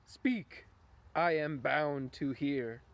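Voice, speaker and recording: A man voicing an anguished, excitable young prince in a Shakespearean puppet show, with melodramatic exclamations. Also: on the high side, drawn-out words, dull audio. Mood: fearful, eager.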